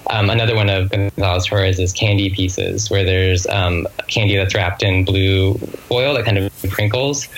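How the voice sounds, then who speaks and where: Low-pitched, reflective author in interview. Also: low-quality recording. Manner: halting, thoughtful, self-correcting phrasing. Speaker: guest author.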